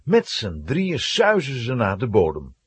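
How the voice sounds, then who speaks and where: A male narrator with a very husky, breathy voice tells a children's adventure. His pitch swings dramatically from phrase to phrase, and the sound is close and dry. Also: huge pitch swings, measured pace, no room sound.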